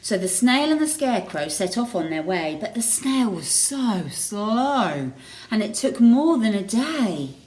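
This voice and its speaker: Slow, animated woman reading a story. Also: medium pitch, slight background noise. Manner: expressive, deliberate. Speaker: teacher reading a picture book to children. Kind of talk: storybook read-aloud with chatty asides.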